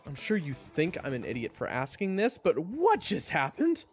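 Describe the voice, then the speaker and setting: A hot-headed young fantasy swordsman, voiced by a woman in a lowish register that swings dramatically up and down. He is brash and indignant, with insecurity under the boasting. Faint music sits behind him. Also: wildly swooping pitch, normal speed. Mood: puzzled. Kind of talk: fantasy audio roleplay acting.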